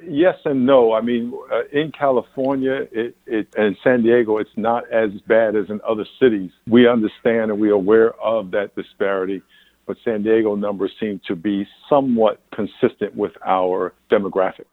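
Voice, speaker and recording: A man's voice: fairly low pitch, steady tone, moderate pace, no echo. Older man pastor on a tinny phone line. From New York. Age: 60s. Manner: candid, rambling with restarts and laughs. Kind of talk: interview answers.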